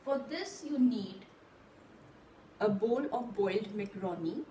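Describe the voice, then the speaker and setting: A woman's voice: medium pitch, animated, a little slow, warm tone, a little hiss or hum. Woman teaching a simple kitchen activity. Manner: patient, step-by-step instruction. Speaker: channel host. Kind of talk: cooking demonstration for parents. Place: small indoor room at a table.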